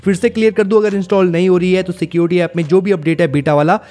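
A young Indian man hosts a tech review in a rather high voice. He talks very quickly and keeps addressing his viewers directly as he walks them through features. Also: steady tone, mellow. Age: 20s. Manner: repetitive, addresses viewers as guys. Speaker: tech YouTuber, host. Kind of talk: tech review narration to camera.

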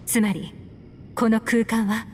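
A woman playing a composed, secretive investigator in Japanese anime game dialogue, speaking in measured, poised phrases. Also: on the high side, little pitch movement, slight background noise. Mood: pensive.

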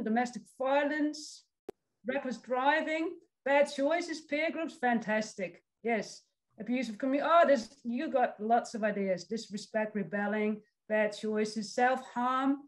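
A woman's voice: sits high, poor audio. A woman in her forties from the Netherlands with a Dutch accent, a social work lecturer giving an encouraging online presentation over a video call. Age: 40s. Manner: friendly, encouraging, reads out audience comments. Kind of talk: online presentation to a youth summit.

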